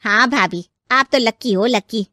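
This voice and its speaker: An older, gossipy aunt-in-law acted by a woman from a cartoon family story. She is effusive and bossy, exclaiming in a high voice with a dry, close sound. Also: normal speed, bright, forceful tone, no echo. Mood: gushing.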